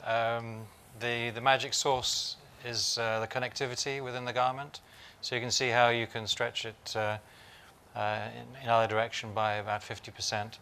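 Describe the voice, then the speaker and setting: A man's voice: mid-range voice, little pitch movement, moderate pace, pushed and bright, clear, resonant tone. A man presenting at a technology conference, explaining a product in technical terms with frequent "uh" fillers. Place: conference stage.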